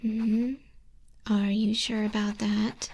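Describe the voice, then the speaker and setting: A woman playing an exacting female griffin flying instructor in a hushed, breathy, measured ASMR roleplay, close to a binaural microphone. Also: medium pitch, level tone, slight background noise. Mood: businesslike, exacting. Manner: measured, unhurried, strict examiner tone. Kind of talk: soft-spoken, whispery ASMR roleplay.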